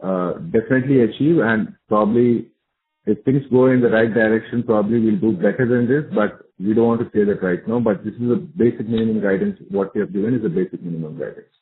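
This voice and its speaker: An Indian-accented man with a fairly low voice answers questions as a company executive on a conference call. He sounds assured and repeats his points for emphasis. The sound is narrow and tinny, like a telephone line. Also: fast talker, slight background noise.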